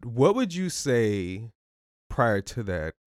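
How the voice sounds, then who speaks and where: A man's voice: medium pitch, big pitch swings, slow pace, no echo, studio quality. A man hosting a casual podcast interview as a relationship coach, playful and loose, often repeating and stumbling over words.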